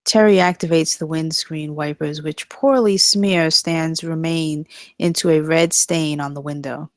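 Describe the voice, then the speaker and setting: A woman's voice: sits low, fairly even intonation, unhurried, dry recording, studio quality. A woman reading stage directions as narrator in a live scripted table read.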